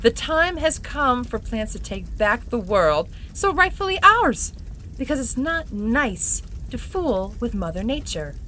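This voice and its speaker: A woman's voice: fairly high pitch, big pitch swings, measured pace, sharp tone, slight background noise, full character voice, low-quality recording. A woman playing a seductive, vengeful plant goddess villain in an audio drama, declaiming in a grand theatrical way. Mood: grandiose, vengeful.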